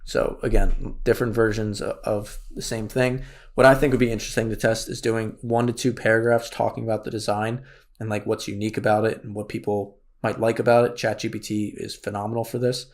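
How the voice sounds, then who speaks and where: Man, raspy worn voice, low-pitched, flat delivery, casual tutorial. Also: brisk. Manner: casual, thinks aloud with fillers. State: raspy, losing his voice. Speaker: course instructor. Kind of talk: screen-share tutorial walkthrough.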